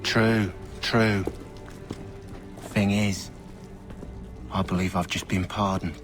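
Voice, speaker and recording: Cocky lowlife villain, music behind. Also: male voice, steady tone, slow pace. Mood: mocking. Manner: cocky, slangy, taunting. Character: insolent criminal conspirator jailed in colonial America. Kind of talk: video game cutscene acting.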